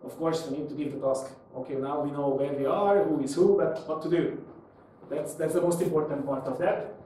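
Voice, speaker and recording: A man's voice: fairly high pitch, brisk, mellow. A man presenting a live technical lecture, explaining by posing questions and answering them, with non-native English phrasing. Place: small indoor meetup room with seated audience. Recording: echoey room, distant mic, faint noise.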